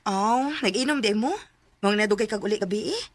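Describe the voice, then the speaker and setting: A woman playing an affectionate, trusting young student and younger sister in a radio drama, with gentle, expressive delivery. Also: medium pitch, fairly quick, no room sound, close to an everyday voice. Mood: curious, friendly.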